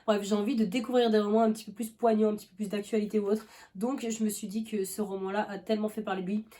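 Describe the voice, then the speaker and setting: A woman's voice: mid-range voice, little pitch movement, quick pace, warm tone. A young woman vlogging about books in a loose, rambling chat, full of fillers and restarts, with a cough now and then. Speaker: book vlogger. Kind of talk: casual chat.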